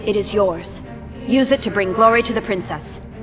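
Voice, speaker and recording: A woman playing a devoted, earnest rebel spy in a video game, reporting to her commander in a formal, crisp manner. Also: mid-range voice, normal speed, lightly acted. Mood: businesslike, earnest. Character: earnest, devoted female rebel spy acting as field liaison. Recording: loud music behind the voice.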